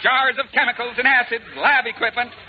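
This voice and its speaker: An actor playing a commanding space colonel in a 1950s radio drama, giving crisp, urgent orders. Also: male voice, extremely high pitch, level tone, fairly quick. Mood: grim. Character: commanding male space colonel, calm under fire. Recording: noisy tinny 1950s radio recording.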